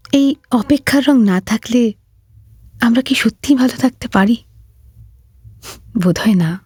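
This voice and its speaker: A woman's voice: medium pitch, darkish tone, husky, no echo, clean studio sound. A woman hosting a radio-style story show, speaking conversationally to listeners in scripted links. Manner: conversational, addressing listeners with questions. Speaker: radio-style programme host.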